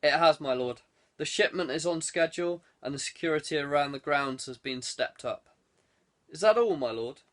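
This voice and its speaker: A feared male enforcer, acted out by an audiobook reader, speaking to his master with obedience. There is quiet menace in the way he talks. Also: a little high, expressive, moderate pace. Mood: obedient. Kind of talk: audiobook character dialogue.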